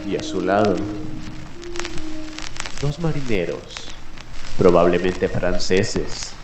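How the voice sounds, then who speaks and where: A man's voice: low-pitched, lively intonation, slow, over music. A man narrating as a late-night mystery radio host, in a solemn, theatrical manner. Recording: roomy echo.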